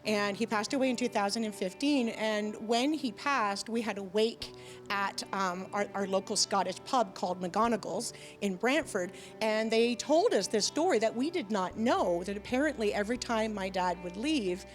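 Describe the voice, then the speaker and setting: Chatty middle-aged woman, animated. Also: medium pitch, normal speed, soft background music. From Canada. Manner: chatty, animated, many fillers and restarts. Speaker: band vocalist, guest. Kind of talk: casual chat. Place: TV studio with audience.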